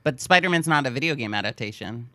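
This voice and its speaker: Bright-voiced man chatting casually, close and dry. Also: mid-range voice, animated, fast, dry recording. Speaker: podcast co-host. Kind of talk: casual chat.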